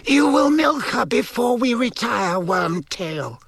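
High, icy, menacing dark lord. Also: male voice, very animated, takes it slowly, vocal fry, no room sound, exaggerated voice. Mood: cold, weary. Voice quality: high-pitched, icy cold. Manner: imperious, commanding, contemptuous. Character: cold, menacing, high-voiced male dark lord, weary and imperious.

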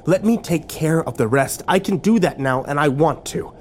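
A man's voice: on the high side, animated, normal speed, a little hiss or hum, voice pushed up, lightly acted. A man playing an anxious, remorseful young man in a suspense story, earnest and pleading.

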